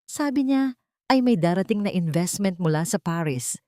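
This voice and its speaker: A woman's voice: on the low side, expressive, normal speed, dark tone, no room sound, studio quality, lightly acted. A woman voicing a proud, distraught older wealthy mother in an audiobook drama, haughty and pleading. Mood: angry, disbelieving.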